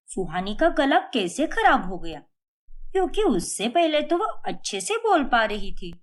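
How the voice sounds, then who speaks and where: A woman's voice: high voice, heavily acted. A woman voicing a proud young daughter-in-law in a cartoon story, firm and indignant.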